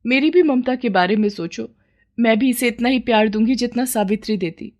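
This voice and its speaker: A woman's voice: on the high side, fairly quick, a little mellow, voice pushed up, lightly acted. A woman voicing a childless, yearning village woman who pleads and justifies herself in a dramatized moral story. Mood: defensive pleading. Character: childless village woman, yearning, scheming, defensive new mother.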